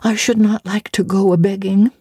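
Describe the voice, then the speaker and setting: A young orphan girl from a classic storybook, played by a woman, timid yet proud. The voice is lifted high and soft-edged, with an earnest, halting delivery. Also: steady tone, slightly rough, no echo, clean studio sound, lightly acted. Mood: hesitant, disdainful.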